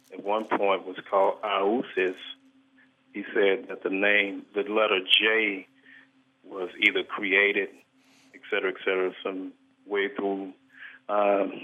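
A man phones in to a radio program, polite and earnest as he rambles through his concern. His voice sits a little low. The sound is thin and tinny, squeezed through a phone line. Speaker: caller. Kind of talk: phoning in a question to a radio show.

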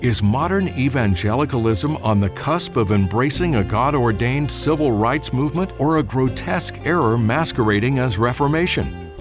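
Male announcer, scripted promo, animated, polished, music bed. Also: mid-range voice, moderate pace.

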